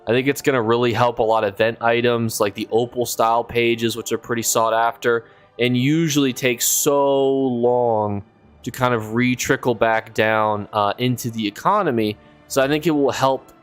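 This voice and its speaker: Hoarse-voiced man streaming a game. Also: medium pitch, moderate pace, faint music behind. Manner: rambling, thinking aloud, reads chat. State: losing his voice, sick. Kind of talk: casual live-stream chat while gaming.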